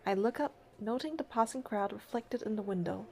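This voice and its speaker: A woman's voice: medium pitch, brisk, mellow, a little hiss or hum. A woman doing a game playthrough, reading narration and heroine lines aloud and commenting casually.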